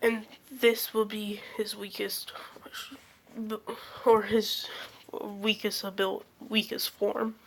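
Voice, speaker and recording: A woman's voice: medium pitch, flat delivery, takes it slowly. A young woman hosting her channel, rambling through a casual fan theory with lots of fillers and self-corrections while she is losing her voice from being sick. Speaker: channel host.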